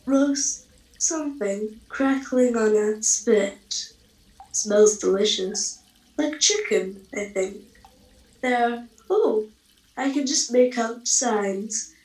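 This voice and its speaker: A woman's voice: on the high side, extremely slow, a little mellow, reverberant, low-quality recording. A woman playing a genteel, curious time-travelling reporter in a radio comedy, describing things theatrically with understatement. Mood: cosy, curious. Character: breezy, posh-mannered woman time-travelling reporter, blithely curious, then alarmed. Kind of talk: radio comedy scripted narration.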